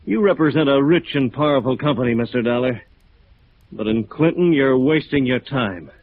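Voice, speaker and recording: A man acting a beaten, dazed American architect in an old radio play. He speaks haltingly at a middling pitch, with weary, bitter irony. The sound is narrow and tinny, like a vintage broadcast. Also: lively intonation, dry recording, close to an everyday voice. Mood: bitter, resigned. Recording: muffled narrow-band vintage radio recording.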